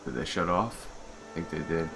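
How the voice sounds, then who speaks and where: A man's voice: low voice, moderate pace, vocal fry. A man giving casual gameplay commentary as a modder, informal and muttering to himself. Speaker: game modder and video host. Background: video game music.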